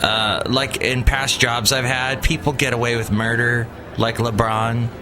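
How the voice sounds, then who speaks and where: A man's voice: medium pitch, unhurried, music in the background. A man hosting a podcast, chatting casually and joking playfully with his co-host. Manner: playful, jokey, lively. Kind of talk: casual chat.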